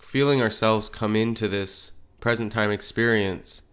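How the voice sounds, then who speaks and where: A man leading a guided meditation as a teacher, speaking in gentle, unhurried phrases with pauses. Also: fairly low pitch, steady tone, smooth.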